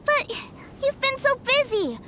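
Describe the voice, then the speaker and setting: A woman playing an eager, stubborn little boy in a video game, childlike and excitable. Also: very high-pitched, measured pace, noisy background, heavily acted. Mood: pleading. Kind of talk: game cutscene dialogue.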